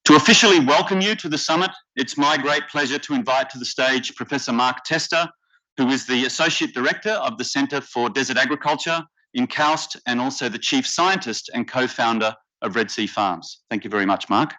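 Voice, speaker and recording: Bright-voiced Australian man emceeing. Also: mid-range voice. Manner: measured, deliberate delivery with occasional fillers. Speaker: workshop facilitator and emcee. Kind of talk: formal conference welcome speech. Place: conference hall stage. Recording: slightly dull lo-fi conference audio.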